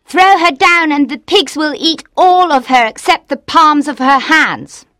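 A woman voicing vengeful, unforgiving children in a storybook, with a cold, taunting, deliberate manner. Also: sits high, animated, sharp tone, dry recording, clean studio sound, heavily acted. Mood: menacing.

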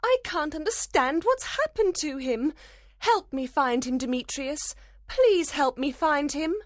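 A man voicing a distraught, fiery young woman in a storybook, shrill, pleading and emphatic. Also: lively intonation, unhurried, no echo, studio quality. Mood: desperate. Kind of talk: storybook character voice.

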